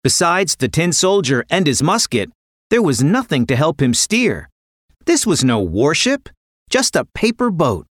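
A woman narrating a scripted children's story in an expressive, dramatic way. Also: low voice, measured pace, no echo, clean studio sound.

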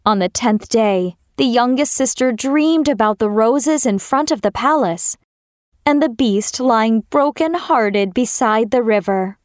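A woman's voice: a little high, expressive, measured pace, no room sound, studio quality. A woman narrator reading a scripted fairy tale for children.

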